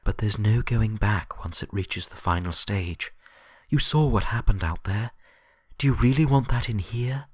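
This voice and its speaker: A man's voice: lowish voice, a little slow, slightly rough, no room sound, clean studio sound, pitched above the performer's own voice. A man voicing a stern, pragmatic female unicorn librarian in a story, reasoning firmly and grimly. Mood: softened, regretful. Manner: sharp-edged, reasoning firmly.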